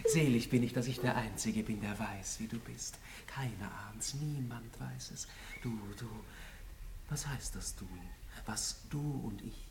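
A man's voice: medium pitch, measured pace, gravelly. An actor playing an ardent, boyish young nobleman in love, rapturous and impetuous, with theatrical diction in a 1960s radio play. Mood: rapturous, tender. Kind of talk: 1960s German-language radio play acting. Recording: roomy, distant, hissy old radio recording.

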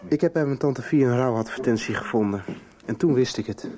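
A man's voice: mid-range voice, a little fast, dark tone, natural voice. Give this to a male actor as an earnest young man in a radio drama. He speaks in a middle register with some hesitation, sincere and searching, as in natural dialogue. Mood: earnest, intense. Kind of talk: radio soap acting.

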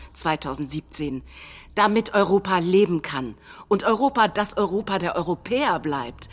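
A woman reading quoted political statements in a measured, declamatory way, as in scripted documentary theatre. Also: fairly low pitch. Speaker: stage reader of political quotations.

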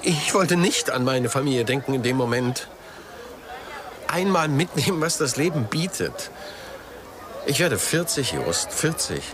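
A man's voice: medium pitch, very animated, measured pace, pauses often, background noise. A man playing a troubled, defensive man of about forty in a radio crime drama, speaking in plaintive, clipped phrases. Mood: rueful, distressed. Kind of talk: naturalistic radio drama dialogue.

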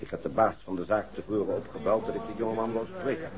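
A man's voice: fairly low pitch, steady tone, fast, lightly acted. An actor playing a weary, frank American businessman's agent in a 1960s radio play, blunt but polite. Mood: exasperated. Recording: muffled old 1960s radio recording.

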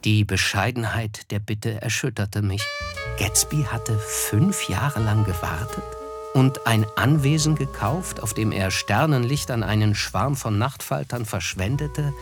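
An actor playing a reflective, wry young man who narrates his memories in a measured, lyrical radio play style. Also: lowish voice, soft background music.

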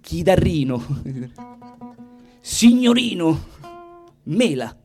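Male stage monologist, Roman accent, high-pitched, expressive colloquial comic delivery, soft guitar behind. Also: very slow. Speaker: stage performer, monologist and singer. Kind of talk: theatrical comic storytelling in verse and song. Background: plucked guitar.

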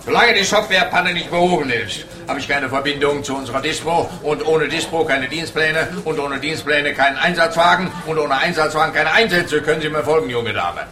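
A man playing a ponderous, pedantic and rather slow-witted police officer in a radio play. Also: on the high side, roomy sound, a crowd in the background, lightly acted. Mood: pompous, pedantic. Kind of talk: German-language radio play acting.

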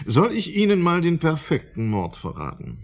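An actor playing a sardonic, patronising psychiatric expert in a German radio drama, with blunt, colloquial sarcasm. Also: male voice, fairly high pitch, huge pitch swings, moderate pace, gravelly, heavily acted. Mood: gleefully mocking.

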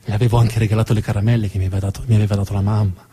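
A man's voice: lowish voice, flat delivery, very fast, warm tone, slightly rough, voice pushed down. A man playing a wistful eighteen-year-old lad. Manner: wistful, self-deprecating storytelling. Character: young man, eighteen, recalling his first kiss.

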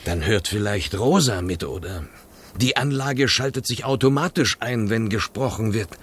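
An actor playing an urgent, emotionally pressed kept lover and schemer in a German radio drama. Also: male voice, on the low side, normal speed, fairly bright tone, husky. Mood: secretive. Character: desperate kept lover, pleading, turning resolute schemer.

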